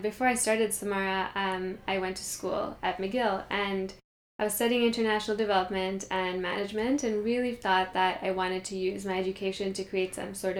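A woman's voice: medium pitch, reverberant. A young woman from Kenya, a company founder, telling her story in an earnest interview with frequent ums. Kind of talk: conversational interview. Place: meditation studio.

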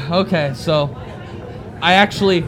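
Higher-voiced man cracking dry jokes. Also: animated, slow pace, low-quality recording. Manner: dry, self-deprecating humor. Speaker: podcast guest. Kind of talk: live podcast banter. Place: bar with a live audience. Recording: live bar crowd noise.

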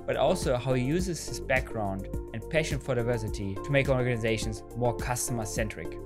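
A man hosting a design podcast, reading an introduction and then asking questions earnestly with frequent fillers. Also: medium pitch. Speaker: podcast host.

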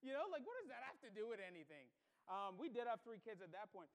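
A man's voice: high voice, very animated, fast, rough recording. A man from the Bahamas, middle-aged, preaching as a pastor with personal storytelling and an emphatic, repetitive, questioning delivery. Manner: emphatic, with repeated phrases and rhetorical questions.

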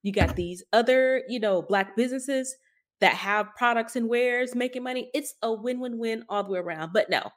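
A woman's voice: a little high, moderate pace. A woman co-hosting a podcast in casual conversation, speaking informally and stressing her points by repetition. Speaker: podcast co-host.

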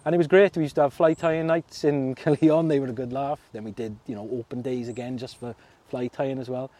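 A man's voice: mid-range voice, steady tone, brisk, dark tone. A man from Merthyr Tydfil with a South Wales accent, chatting as a guest in a casual interview, laughing often and full of fillers and restarts. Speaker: fishing club secretary, guest.

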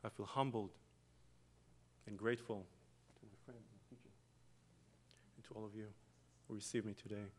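A man from Israel with an Israeli accent, a native Hebrew speaker, giving a slow, reflective guest sermon in a church. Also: fairly low pitch, level tone, slightly rough. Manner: slow, reflective, with long pauses and soft trailing phrases. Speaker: guest preacher. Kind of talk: meditative sermon with chanted Hebrew opening.

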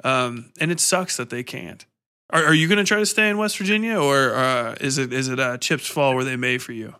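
A man's voice: medium pitch, brisk, sharp tone, clean tone. A man in his mid-thirties with an American accent, chatting casually and in a rambling, informal way as an interview host. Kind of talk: casual chat.

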